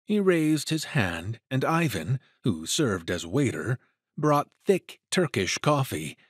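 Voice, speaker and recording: A man's voice: medium pitch, sing-song, slow pace, slightly rough, dry recording, studio quality. A man narrating a suspense story in an audio drama, reading scripted prose dramatically.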